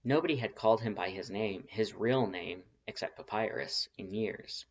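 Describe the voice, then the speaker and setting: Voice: deep voice, moderate pace, lo-fi sound. A narrator reading fan fiction aloud as scripted storytelling.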